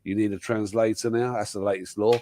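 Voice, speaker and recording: A man's voice: lowish voice, level tone, fairly quick, dark tone. A man hosting a live panel chat, talking casually with lots of restarts and repeated yeahs. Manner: rambling, many restarts and yeahs. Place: home in Thailand.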